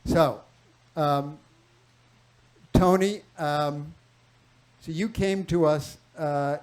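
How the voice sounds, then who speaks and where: A man hosting a health panel at a clinic event, asking questions haltingly with many fillers and restarts. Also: a little high, fairly even intonation, rough recording. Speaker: panel host, clinic doctor. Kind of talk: moderating a live health panel.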